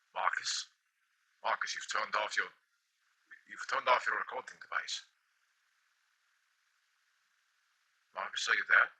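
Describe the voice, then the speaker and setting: An extremely raspy, low male voice put on by an actor for an uneasy, weary game character. He speaks in stiff, formal phrases. The tone is sharp and forceful through all the breath. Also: exaggerated voice, rough recording. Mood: worried. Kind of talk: video game cutscene acting.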